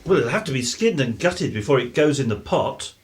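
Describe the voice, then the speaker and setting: An actor playing a gruff, easily exasperated English father of the 1950s in a radio play. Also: medium pitch, animated, fairly quick, close to an everyday voice. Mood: practical. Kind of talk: British radio play acting.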